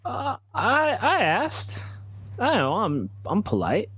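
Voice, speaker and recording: A man's voice: high-pitched, huge pitch swings, takes it slowly, fairly bright tone, breathy edge, dry recording, heavily acted. A man playing a reluctant, bewildered, polite ringmaster in tabletop roleplay, stammering and hesitant. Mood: uneasy, confused. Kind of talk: improvised in-character tabletop roleplay.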